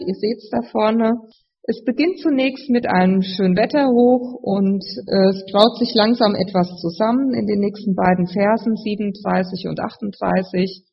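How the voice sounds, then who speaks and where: Preacher with a slight cold, gravelly and measured, explaining scripture; muffled sound with long hall reverb. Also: medium pitch. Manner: measured, explanatory, speaking to a congregation. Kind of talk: sermon with scripture reading. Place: large reverberant room, live address.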